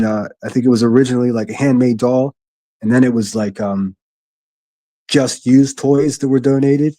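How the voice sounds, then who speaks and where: A man with a gruff voice hosting a solo livestream from his quiet living room, reading chat and speaking bluntly with frequent restarts. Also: medium pitch, no room sound. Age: adult, a military veteran. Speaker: livestream host.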